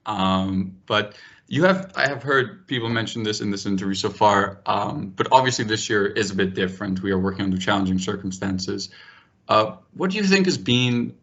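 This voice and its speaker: A man's voice: lowish voice, lively intonation, normal speed, a bit bright. A man interviewing a group conversationally, feeling his way through questions with hesitant, rambling phrasing. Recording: dull, lo-fi audio.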